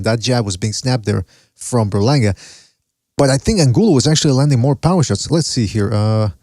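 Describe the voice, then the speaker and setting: A man's voice: mid-range voice, darkish tone, no room sound. Male podcast host from Mexico with a mixed accent, loose and rambling, dry close sound. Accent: mixed foreign accent. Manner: casual, rambling, cursing, laughs often. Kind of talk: solo live-stream boxing chat, reading chat.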